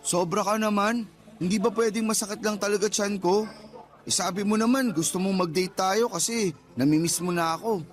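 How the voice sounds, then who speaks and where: An actor playing a defensive, teasing, flippant young boyfriend with a Filipino accent in a radio drama, whining out excuses. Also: male voice, sits high, normal speed, natural voice. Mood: placating. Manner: whiny, flippant excuse-making. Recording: background music under the voice.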